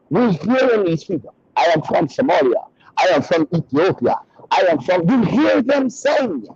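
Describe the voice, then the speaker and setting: A man's voice: creaky, husky, dry recording, lo-fi sound. A man hosting a heated commentary debate over a call, emphatic and profane, cutting in to make his point. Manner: emphatic, profane, interrupts, pitch jumps when worked up. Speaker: host of commentary channel.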